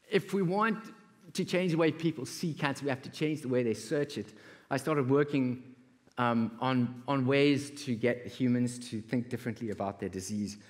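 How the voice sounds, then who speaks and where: A middle-aged man with a South African accent giving a stage talk, telling personal stories with pauses and repeated words. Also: medium pitch, a little mellow. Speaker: conference speaker, creative entrepreneur. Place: large indoor auditorium stage. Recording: reverberant auditorium hall.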